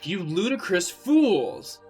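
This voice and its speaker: A man voicing a furious old male headmaster wizard in a storybook reading, shouting in shrill outrage. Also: sing-song, takes it slowly, faint music behind, full character voice. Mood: scolding.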